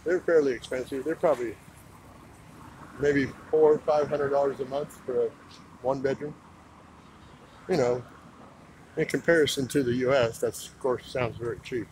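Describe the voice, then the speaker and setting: A man's voice: mid-range voice, steady tone, normal speed, long pauses. A man from Idaho in the US giving laid-back, chatty commentary as he films a walk on a town roadside with a handheld phone. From United States (Idaho). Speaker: vlogger on a walking tour. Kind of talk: casual walking commentary. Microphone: handheld phone camera. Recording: outdoor phone recording, clear traffic noise. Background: passing vehicles and street ambience.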